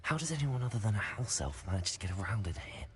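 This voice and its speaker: A man's voice: fairly low pitch, animated, fast, natural voice. A young man playing a curious, polite wizard student in a video game. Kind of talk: video game character acting. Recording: long hall reverb, faint background noise.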